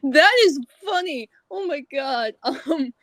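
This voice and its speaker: A woman's voice: sits high, animated, slow, a bit bright, no echo, studio quality. A woman hosting a casual interview, chatting in a playful, giggly manner. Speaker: podcast host, actor.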